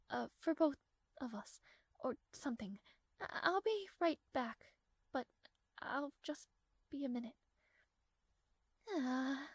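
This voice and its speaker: A proud young princess, acted by a woman in an audio roleplay, speaks slowly in a high voice. Her diction is formal and regal, haughty on the surface and prone to shy hesitation. The voice is close and dry, with music behind it. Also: no echo, full character voice. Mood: embarrassed, flustered. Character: strong-willed, proud young princess, easily flustered, secretly smitten.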